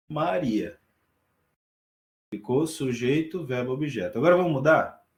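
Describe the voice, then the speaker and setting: Man, teacher on a video call, relaxed and checks in with students, echoey room. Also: medium pitch. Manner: relaxed explaining with frequent check-ins to students. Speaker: sign language teacher. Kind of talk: online class lecture.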